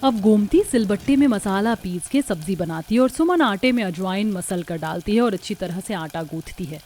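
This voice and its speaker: A woman's voice: medium pitch, animated, normal speed, warm tone, slight background noise. A woman reading scripted narration for a Hindi cartoon family story.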